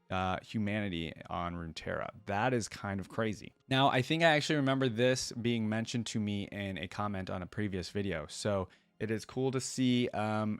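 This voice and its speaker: A man with a fairly low voice hosts a reaction video in a casual, think-aloud way. He mixes commentary with reading aloud, and the sound is dry, with very little room in it. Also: no echo. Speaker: reaction channel host.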